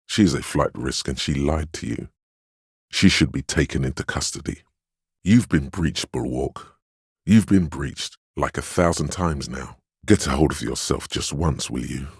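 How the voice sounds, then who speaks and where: An actor playing a stern, weary inner voice of willpower in a video game, speaking in a deliberate, grave, admonishing way with wry, protective authority. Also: male voice, extremely low pitch, breathy edge, dry recording, studio quality, full character voice. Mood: stern, wary. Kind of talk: dramatic second-person game narration and inner-voice dialogue.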